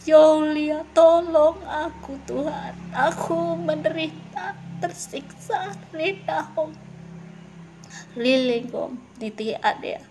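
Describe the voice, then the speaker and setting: A woman's voice: on the high side, level tone, slow, gravelly, a little hiss or hum. A woman voicing an anguished, regretful soul who pleads with God for mercy. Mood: anguished pleading.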